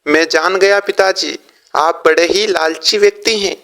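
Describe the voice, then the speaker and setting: A man's voice: high-pitched, fairly even intonation, unhurried, a bit bright, voice pushed up. A man voicing a merchant's honest, indignant son in a cartoon story, speaking with emphatic reproach.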